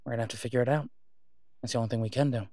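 A man playing a devoted, reassuring boyfriend in an intimate roleplay audio drama, speaking softly and haltingly in a conversational way. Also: medium pitch, fairly even intonation, dry recording, clean studio sound, natural voice. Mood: worried, hesitant.

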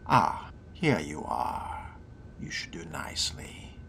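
A man voicing a sly, haughty vampire fledgling who muses to himself in a hushed, gravelly, theatrical whisper during a game role-play. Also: extremely low pitch, huge pitch swings, background noise, exaggerated voice. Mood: wry musing. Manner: slow, theatrical, wry, formal wording.

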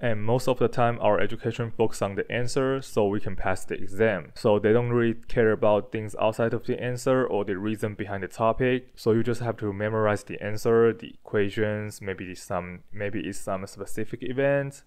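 Low, smooth-voiced young vlogger from Taiwan. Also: male voice, fairly even intonation, moderate pace, hardly any pauses, a little mellow. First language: Chinese and Taiwanese Hokkien. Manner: candid, blunt, with casual swearing. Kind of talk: casual personal vlog. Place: dim room with a desk monitor, indoors. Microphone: handheld furry-windscreen mic near the mouth.

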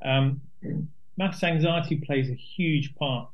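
A man's voice: a little high, slow, dull audio. A man speaking as an interview guest, explaining things in a conversational way.

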